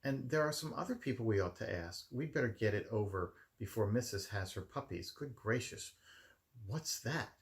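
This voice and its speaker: A man voicing a polite, practical married lady in a gentle, slightly fussy manner for a children's story. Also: on the low side, animated, moderate pace. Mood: fretful, polite.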